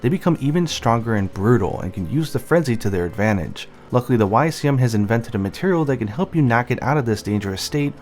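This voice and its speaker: A man narrates game lore as a guide to the story, explaining things plainly in a light voiceover. His pitch sits in an average male range, with music playing behind him. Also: animated, quick pace, hardly any pauses, a little mellow. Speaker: video narrator giving story context.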